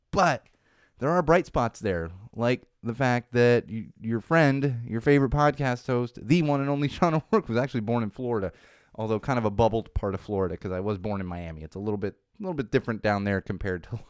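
A young man from Southern California talks through internet trends on his solo podcast, wry and self-mocking, often restarting his sentences. His voice is smooth and resonant at a middle pitch. The studio sound is dry and close. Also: a little fast, no room sound. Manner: rambling, wry, self-deprecating, frequent restarts. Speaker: podcast host. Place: home studio.

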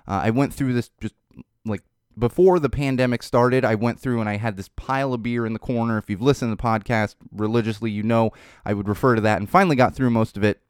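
A man hosting his podcast from a home studio, talking loosely with lots of fillers and repeated words, blunt and sardonic at times, and a bit hoarse. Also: mid-range voice, steady tone, fast, no room sound. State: losing his voice. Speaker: podcast host. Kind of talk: casual solo podcast talk. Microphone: studio mic with headphones.

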